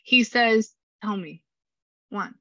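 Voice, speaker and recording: A woman with a low voice and a slight rasp, chatting through a spirit reading and passing on messages. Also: takes it slowly, bright, forceful tone, no echo. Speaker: psychic medium.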